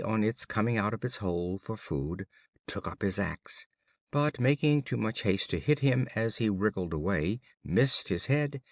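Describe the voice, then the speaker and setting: A man reading children's fables as an audiobook narrator, in a measured, formal storybook style. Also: fairly low pitch, no echo, clean studio sound.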